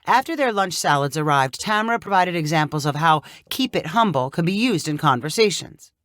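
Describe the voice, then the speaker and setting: A woman's voice: deep voice, animated, brisk, fairly bright tone, no room sound, clean studio sound. A woman narrating a scripted short story as its reader.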